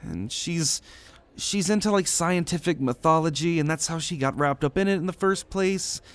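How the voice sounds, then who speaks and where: Man voicing an earnest, higher-pitched young demigod student. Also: moderate pace, close to an everyday voice. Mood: hopeful, hesitant. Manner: hesitant, earnest, reassuring. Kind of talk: ASMR roleplay audio drama.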